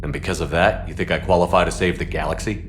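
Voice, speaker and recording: Man acting a low-voiced, steady military space commander. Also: fast, background noise, close to an everyday voice. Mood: earnest, questioning. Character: calm, dry, resolute male military space commander. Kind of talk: video game cutscene dialogue.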